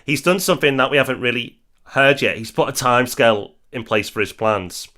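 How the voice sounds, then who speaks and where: A man's voice: medium pitch, brisk, bright, forceful tone. A man co-hosting a podcast, introducing guests in an upbeat, encouraging way. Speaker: podcast co-host. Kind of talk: scripted podcast narration.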